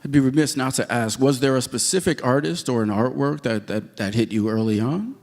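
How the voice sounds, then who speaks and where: A man's voice: mid-range voice, a little fast, a little mellow. A man moderating an onstage conversation, prompting with brief questions. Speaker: museum director, moderator. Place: museum auditorium. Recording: long reverb of an auditorium.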